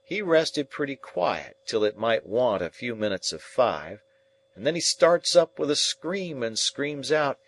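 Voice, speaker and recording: A man voicing a rough old male convict, gruff and plainspoken, in a rustic dialect. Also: mid-range voice, big pitch swings, unhurried, pitched above the performer's own voice. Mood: grim storytelling.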